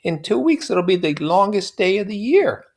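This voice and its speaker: High, swooping young society woman. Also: sing-song, normal speed, vocal fry, full character voice. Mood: playful, airy. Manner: exaggerated, swooping delivery. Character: breathy, playful young society wife, theatrically plaintive.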